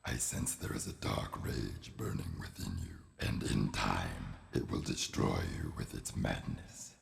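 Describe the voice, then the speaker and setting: An ancient oracle creature speaks in an extremely low, heavily creaking voice. Its delivery is grave and portentous, like a video game prophet addressing a warrior. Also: male voice, measured pace, full character voice. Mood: ominous, solemn. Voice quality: very deep, gravelly.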